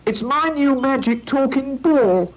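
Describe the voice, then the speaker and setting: A man voicing a young male character who is sorry for himself, in a very high, plaintive voice, on a lo-fi storybook recording. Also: expressive, unhurried. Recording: lo-fi old recording.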